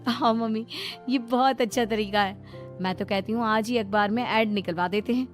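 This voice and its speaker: A woman's voice: a little high, normal speed, soft background music, close to an everyday voice. A woman voicing a caring, agreeable young adult daughter in a cartoon story, speaking earnestly.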